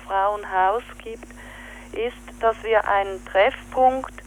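A woman's voice: mid-range voice, a little hiss or hum, poor audio. A woman answering interview questions, hesitant and searching for words as she explains. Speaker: activist interviewed by phone.

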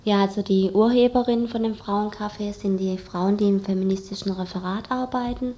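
A woman's voice: medium pitch, mellow. A young woman giving casual explanations as a guest in an interview. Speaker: interview guest, student activist.

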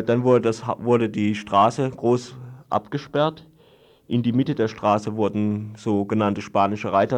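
A man giving an unscripted radio report, explaining things haltingly in long-winded sentences. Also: lowish voice, a little mellow. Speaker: guest reporting on a court trial.

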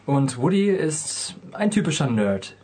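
A man's voice: a little high, sing-song, measured pace, background noise. A young man from North Rhine-Westphalia introducing himself casually as an interview guest. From North Rhine-Westphalia, Germany. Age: young adult. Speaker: interview guest, student musical performer.

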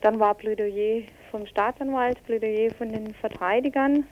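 A woman's voice: a little high, steady tone, unhurried, slight background noise. A woman giving spontaneous, colloquial interview answers as an activist guest, explaining things step by step, on a dull recording. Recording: dull lo-fi interview, telephone-like sound.

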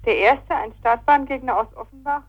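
Female news correspondent, slightly high voice, scripted court report, muffled lo-fi radio phone-in sound. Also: moderate pace.

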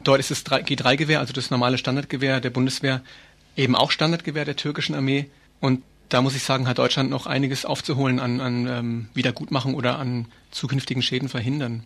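A man's voice: mid-range voice, flat delivery, quick pace, fairly bright tone. A man being interviewed as an activist, explaining things earnestly and informally with hesitant phrasing.

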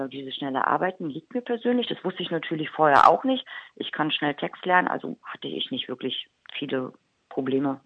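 A woman's voice: deep voice, dry recording. A woman with a Berlin accent, an actress being interviewed on the radio, talking openly and chattily. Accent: Berlin German. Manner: chatty, open, quick to laugh. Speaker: actress guest. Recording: dull lo-fi radio recording.

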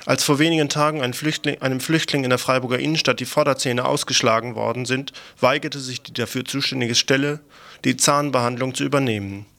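A man's voice: medium pitch, fairly even intonation, a little fast, gravelly, bright, forceful tone. A man presenting a scripted radio news report in a matter-of-fact, critical, faintly sardonic way.

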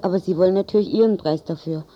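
A woman's voice: on the low side, quick pace. A woman reading a scripted translation as a radio voice-over, recorded with dull, lo-fi sound.

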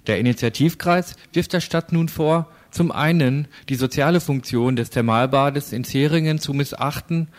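A man's voice: on the high side, moderate pace, hardly any pauses. A man working as a radio reporter, reading scripted news narration and putting interview questions.

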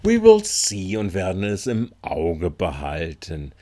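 Low-voiced man, wry local reporter. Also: wildly swooping pitch, takes it slowly, dark tone. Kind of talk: local news commentary.

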